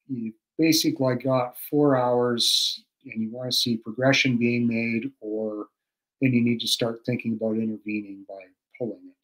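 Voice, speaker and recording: A man's voice: medium pitch, low-quality recording. A middle-aged man, a cattle expert, explaining calving in a casual, practical way over a video call from a room at home, with many fillers and restarts. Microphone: video call webcam.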